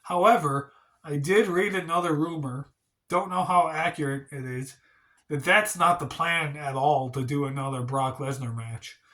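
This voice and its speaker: A man's voice: a little high, normal speed, fairly bright tone. A man hosting a casual wrestling review vlog, talking in a rambling, hedging way, congested and stuffy from a cold. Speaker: wrestling channel host.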